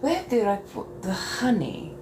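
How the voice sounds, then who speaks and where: Slow, flirtatious woman streaming from a bathroom. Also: mid-range voice, sing-song. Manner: flirtatious and theatrical, calls viewers darling. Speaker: livestream host. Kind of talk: casual livestream chat while getting ready. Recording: clear background noise.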